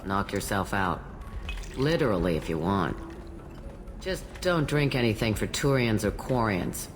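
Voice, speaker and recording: An actress playing an ancient, blunt alien matriarch tending bar in a video game, with a gruff, wry manner. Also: female voice, a bass voice, expressive, measured pace, faint music behind. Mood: dry, casual. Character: jaded, blunt thousand-year-old alien matriarch bartender. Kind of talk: video game character dialogue.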